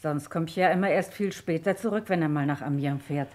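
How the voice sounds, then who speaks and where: Actress as tight-lipped middle-aged farm woman, bitter, terse, halting delivery, radio drama, some background noise. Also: deep voice, natural voice. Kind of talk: German radio crime drama acting.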